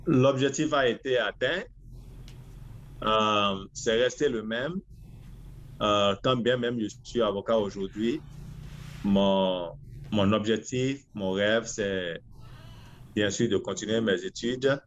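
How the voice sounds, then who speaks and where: Beninese guy in his 40s getting interviewed, talks slow and deliberate, kinda muffled lofi sound. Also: lowish voice, slight background noise. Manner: halting, deliberate. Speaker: interview guest, lawyer. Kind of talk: answering interview questions.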